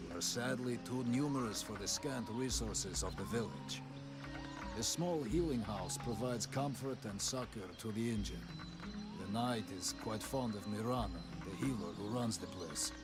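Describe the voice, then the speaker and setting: An actor voicing a proud warrior knight who always speaks of himself in the third person, in a formal manner, with game music behind. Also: male voice, medium pitch, normal speed, close to an everyday voice. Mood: solemn. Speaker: game character.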